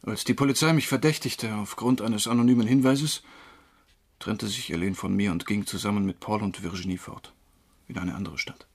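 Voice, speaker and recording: An actor playing a troubled former drinker, wrongly convicted, confessing haltingly in a crime radio drama, with a husky voice. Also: male voice, on the low side, fairly even intonation. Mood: bitter, haunted. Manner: halting, brooding.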